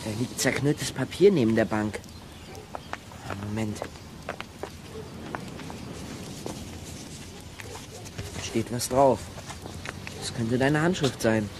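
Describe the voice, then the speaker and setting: A man's voice: medium pitch, unhurried, heavy background noise, lightly acted. A man playing a clever, sarcastic boy detective in a children's audio drama, speaking in a pedantic, dry way. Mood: focused.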